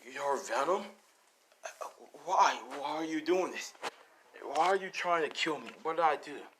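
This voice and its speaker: A man's voice: fairly high pitch, expressive, measured pace, fairly bright tone. A man voicing an earnest, confused young male superhero in a fan story, with a questioning, pleading manner. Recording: clearly noisy home recording.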